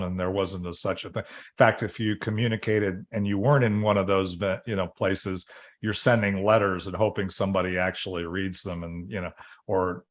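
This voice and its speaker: Middle-aged male podcast host, low-pitched, loose and rambling delivery. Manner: rambling, many fillers and restarts. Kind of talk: casual interview chat.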